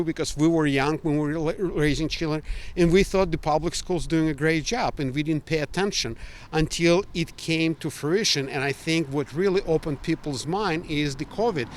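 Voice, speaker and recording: An older man from Ukraine with a Ukrainian accent, giving emphatic, earnest, opinionated answers as an interviewee. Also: a little high. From Ukraine, former Soviet Union.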